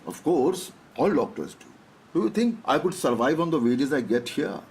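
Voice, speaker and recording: Breezy, slippery Indian doctor, noisy ambience. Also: male voice, on the high side, big pitch swings, moderate pace, darkish tone. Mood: self-justifying. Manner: breezy, joking, chuckling. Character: jovial, slippery Indian hospital doctor with unsettling jokes. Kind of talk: naturalistic radio drama acting.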